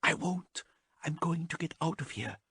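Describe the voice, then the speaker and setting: A man's voice: high-pitched, wildly swooping pitch, slow, slightly rough, no echo, voice pushed up, exaggerated voice. A man voicing a determined young woman law officer who speaks in an urgent, insistent way.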